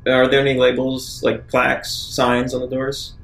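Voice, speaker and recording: A man's voice: mid-range voice, completely flat delivery, moderate pace, vocal fry, fairly bright tone. A man talking casually as a player in a tabletop roleplaying game, musing and asking questions.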